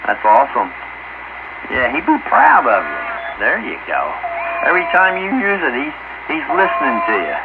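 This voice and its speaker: A man's voice: on the high side, huge pitch swings, normal speed. A man chatting casually over two-way radio in a folksy way, often repeating his phrases. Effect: two-way radio transmission. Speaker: amateur radio operator. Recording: very noisy, muffled two-way radio.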